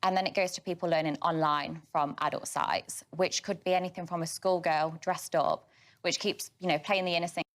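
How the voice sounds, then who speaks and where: A woman's voice: on the low side, fairly even intonation, fairly quick. A woman, answering interview questions in a matter-of-fact way. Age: 20s.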